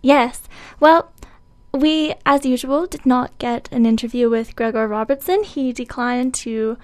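High-voiced woman news correspondent. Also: fairly even intonation, a little slow, a little mellow, no echo. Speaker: city hall correspondent. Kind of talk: mock-serious live news report.

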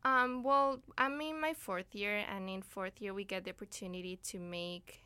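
A woman's voice: medium pitch, steady tone, normal speed, bright, forceful tone, clear, resonant tone, dry recording. A woman in her twenties from Ecuador giving casual, hesitant interview answers as a guest. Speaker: interview guest, student filmmaker. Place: radio studio.

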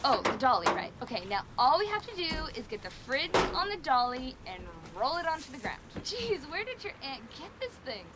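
A woman's voice: sits high, very animated, normal speed, few pauses, background noise. A woman playing an exasperated, sarcastic, prankish young woman in a comedy drama dialogue. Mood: impatient.

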